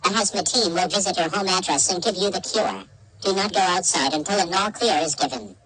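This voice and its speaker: A woman reading a scripted emergency broadcast announcement in a formal, measured, synthetic manner. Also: on the low side, lively intonation, a bit bright, husky, no echo, rough recording.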